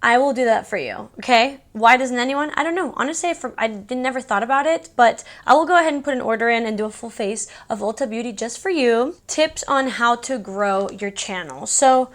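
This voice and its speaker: Young woman, native Spanish speaker, higher-pitched voice, informal and candid, casual Q&A vlog style. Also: expressive, fairly quick, hardly any pauses. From born in Mexico City, raised in Houston, USA. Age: young adult. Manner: candid, informal, conversational asides. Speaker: beauty YouTuber.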